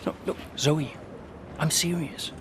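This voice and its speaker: A man's voice: on the high side, steady tone, takes it slowly, noisy background. A man playing a moody, hesitant veteran racing driver near retirement in a naturalistic video game drama. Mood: earnest. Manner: hesitant, guarded.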